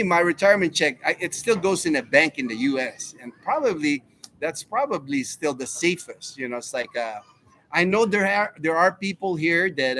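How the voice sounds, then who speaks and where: A man's voice: fairly high pitch, fairly bright tone, slight background noise. A man in his fifties, from the United States, hosting a casual live Q&A stream and rambling through answers to chat questions. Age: 50s. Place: home in the Philippines.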